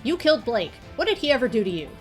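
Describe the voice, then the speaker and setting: A woman's voice: on the high side, very animated, quick pace, faint music behind. Woman as an earnest, persistent amateur sleuth. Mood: accusing. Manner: questioning, persistent. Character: earnest, probing amateur sleuth from a TV detective show. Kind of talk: visual novel character voice.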